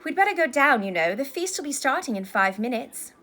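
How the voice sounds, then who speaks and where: A woman's voice: sits high, very animated, brisk, sharp tone, voice pushed up, full character voice. A woman voicing an earnest, sensible teenage schoolgirl in a storybook, anxious and concerned. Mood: brisk. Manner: hesitant, concerned. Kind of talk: storybook character voice.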